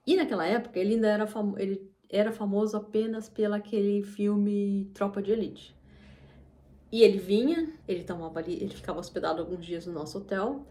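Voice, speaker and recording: A woman's voice: medium pitch, a little fast, mellow. A woman, talking casually to camera as the host of her channel, rambling with many restarts and asides. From Brazil. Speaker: English teacher and channel host.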